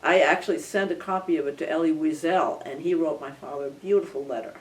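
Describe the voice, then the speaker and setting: A woman's voice: low voice, fast. Older woman composer, armenian first language, thoughtful, restarts, recorded from a distance. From Belmont area, United States. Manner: warm, thoughtful, with frequent restarts and soft laughs. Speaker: composer being interviewed. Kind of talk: casual reflective interview.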